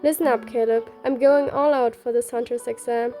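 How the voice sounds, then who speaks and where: Woman reading as young heroine, earnest, teasing, slightly high voice, romance game dialogue, soft music behind. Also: fairly even intonation, normal speed, natural voice. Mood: determined. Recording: background music.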